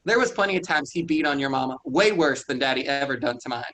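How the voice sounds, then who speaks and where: A man's voice: mid-range voice, lively intonation, quick pace, fairly bright tone, natural voice. An actor reading a stubborn rural young man, curt and defensive in a staged play reading.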